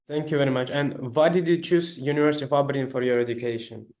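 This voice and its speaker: A man's voice: mid-range voice, fairly even intonation, a little mellow, slight background noise. Polite man asking short questions. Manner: brief, polite questions with quick thanks. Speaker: interviewer.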